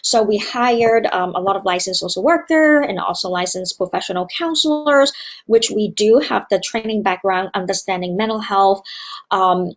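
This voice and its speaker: A woman's voice: medium pitch, moderate pace. Woman, dull call audio. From Hong Kong. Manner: earnest, many fillers and hesitations. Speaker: university student wellbeing director. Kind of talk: conference presentation. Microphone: remote video call.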